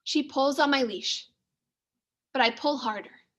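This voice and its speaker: A woman's voice: sits high, measured pace. A woman teacher reading a children's story aloud, expressively and with careful enunciation.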